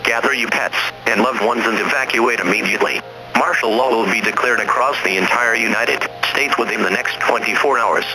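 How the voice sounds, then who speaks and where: A man's voice: medium pitch, rough recording. Formal male emergency alert announcer. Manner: formal, measured, impersonal. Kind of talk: scripted emergency broadcast announcement.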